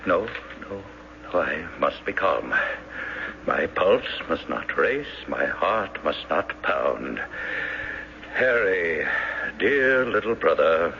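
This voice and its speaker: Bossy highstrung banker, old radio drama, voice swings all over, dull noisy broadcast. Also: male voice, big pitch swings, slow pace, pauses often, sharp tone, full character voice. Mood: strained, weary. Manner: exasperated, theatrical, emphatic. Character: overwrought, domineering banker, elder brother, excitable.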